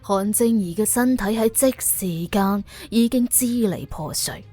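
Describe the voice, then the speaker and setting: A woman's voice: mid-range voice, a little slow, dark tone, faint music behind. A woman with a Cantonese accent reading a scripted suspense audiobook as its narrator.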